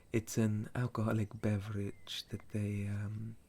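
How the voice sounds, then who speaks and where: A man voicing a gentle, centuries-old sun elf and retired soldier in an intimate ASMR roleplay, soft and breathy, often slipping into a whisper, with a hesitant storytelling manner. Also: fairly low pitch, completely flat delivery. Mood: amused, relaxed. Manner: slow, hesitant, softly chuckling storyteller.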